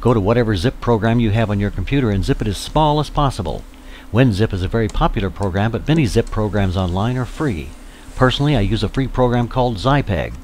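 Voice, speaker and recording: A man's voice: fairly low pitch, animated, fast, a little mellow, a little hiss or hum. A man giving scripted, step-by-step instructional narration as a tutorial narrator for volunteers.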